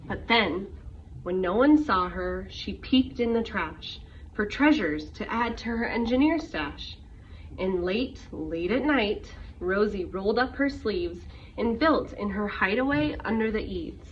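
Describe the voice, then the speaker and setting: A woman reading a rhyming picture book aloud to young children in an expressive storytelling way. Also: medium pitch, a little slow. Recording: muffled, noisy, distant microphone.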